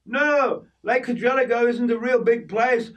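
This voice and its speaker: A man's voice: very high-pitched, a little slow, a bit bright, far from the mic, voice pushed up. A man voicing a blunt, bossy middle-aged farm wife from the Outback. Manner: blunt, matter-of-fact.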